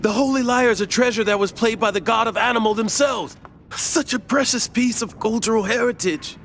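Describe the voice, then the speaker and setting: A man voicing an excitable, trusting, dutiful city guard in an anime video game, earnest and formal. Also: very high voice, a little fast, faint music behind. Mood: indignant. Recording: faint ambient noise behind.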